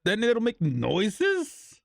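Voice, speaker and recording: A man's voice: sits high, huge pitch swings, extremely slow, creaky. A man giving blunt, profane, informal reaction commentary as a streamer.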